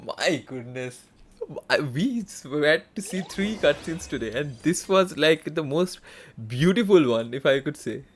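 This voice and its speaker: A man giving casual, halting live commentary on a game he plays, easily amazed by what he sees. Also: fairly high pitch, animated, slight background noise. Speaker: game livestreamer. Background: game music.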